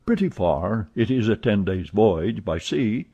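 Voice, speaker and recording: A man voicing a suspicious adult detective who asks measured, probing questions, in a dull lo-fi recording. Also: on the low side, very animated, dark tone, breathy edge, full character voice. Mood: calm.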